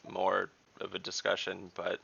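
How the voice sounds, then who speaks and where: Deep creaky voiced guy playing dnd online, casual, thinking out loud, bit muffled. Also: completely flat delivery, measured pace. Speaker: player in a tabletop game. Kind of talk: casual in-game role-play chat. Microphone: online voice call.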